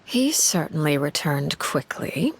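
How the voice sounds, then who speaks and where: A woman voicing a poised, enigmatic intelligence officer in a video game, speaking in a composed, formal and deliberate way. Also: low-pitched, big pitch swings, slightly rough, heavily acted. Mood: calculating, courteous. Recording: loud music behind.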